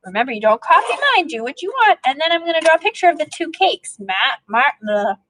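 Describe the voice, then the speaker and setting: A woman's voice: high-pitched, a bit bright, rough recording. A woman, an elementary teacher leading a read-aloud lesson for young children, asking questions and prompting as she goes.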